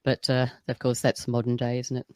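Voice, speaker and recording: A man hosting a podcast in an animated, chatty way, with many fillers. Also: medium pitch, brisk, clear, resonant tone, dry recording. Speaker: podcast host. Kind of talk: casual chat.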